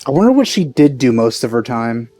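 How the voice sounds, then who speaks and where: A woman's voice: a bass voice, big pitch swings, fairly quick, mellow, soft background music. A woman giving casual, offhand, joking commentary while playing a video game. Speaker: let's play host.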